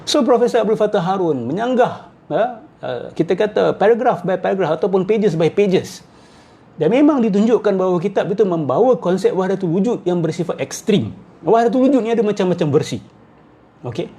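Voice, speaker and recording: A man's voice: high voice, animated, fairly quick, dark tone. A middle-aged man giving off-the-cuff, argumentative religious commentary, repeating his phrases and firing rhetorical questions.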